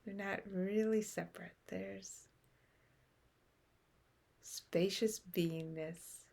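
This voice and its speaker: A woman leading a guided meditation in a slow, gentle way, with long pauses between short phrases. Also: mid-range voice, steady tone, creaky.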